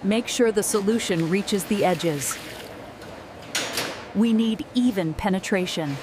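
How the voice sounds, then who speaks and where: A woman's voice: mid-range voice, takes it slowly, heavy background noise. A woman factory worker giving instructions in scripted dialogue.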